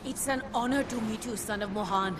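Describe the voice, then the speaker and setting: Actress as eager female rebel guide for a game: high, lively voice under very loud noise. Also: brisk, natural voice. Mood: eager, admiring. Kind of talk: video game cutscene acting. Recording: distant voice, very noisy outdoors.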